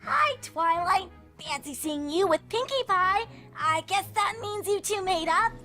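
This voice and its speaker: A young cartoon pony filly, earnest and sheepish. The voice is very high and bright, with expressive pleading, over soft background music in an audio drama. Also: female voice, a little slow, full character voice. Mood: falsely innocent. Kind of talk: fan fiction audio drama.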